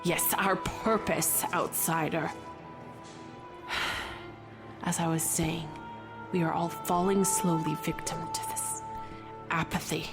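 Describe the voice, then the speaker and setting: A woman's voice: on the low side, steady tone, takes it slowly, long pauses. A woman playing a stern, proud dwarf marshal in a fantasy audio drama, with a strong, carrying, formal and scornful delivery. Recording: music under the voice.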